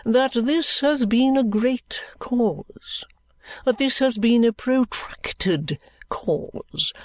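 A woman voicing a pompous, condescending senior male lawyer in an audiobook, with grand, drawn-out phrasing. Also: mid-range voice, no room sound, studio quality. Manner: orotund, drawn-out, pompous, self-satisfied speech.

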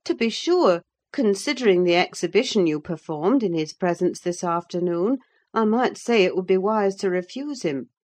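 A woman's voice: lowish voice, animated, moderate pace, darkish tone, lightly acted. A woman voicing a no-nonsense, sardonic female servant in a dramatised audiobook, dry, sententious and scolding. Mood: scornful. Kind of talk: dramatised audiobook reading of a classic novel.

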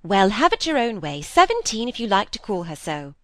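A woman voicing a bossy, impatient older spinster from a storybook, brisk and exclamatory, often breaking in. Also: a little high, sing-song, a bit bright, dry recording, studio quality, pitched above the performer's own voice.

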